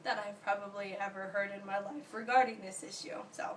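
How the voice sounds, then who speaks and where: Young woman, hoarse from illness, earnest student speech, halting delivery, distant mic. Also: mid-range voice, fairly even intonation. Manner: earnest, halting, many fillers and restarts. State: hoarse from being sick. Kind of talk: class speech. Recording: distant mic, faint ambient noise.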